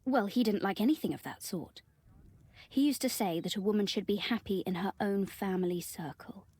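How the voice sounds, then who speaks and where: A woman's voice: mid-range voice, a little fast, darkish tone, slightly rough, dry recording. An actress voicing a shy, proper young Victorian woman in a video game, speaking politely, formally and earnestly.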